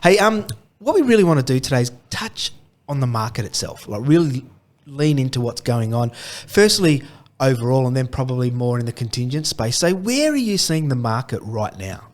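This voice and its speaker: A man hosts a podcast, his mid-range voice husky from a cold. He chats in an upbeat, friendly way. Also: mid-range voice, sing-song, moderate pace. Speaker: podcast host. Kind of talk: casual podcast chat.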